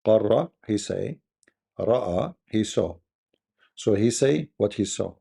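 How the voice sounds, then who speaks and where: A man's voice: fairly low pitch, expressive, takes it slowly, creaky, mellow, slightly rough. A man with an Arabic accent, speaking as host of a live debate stream in a blunt, scornful manner.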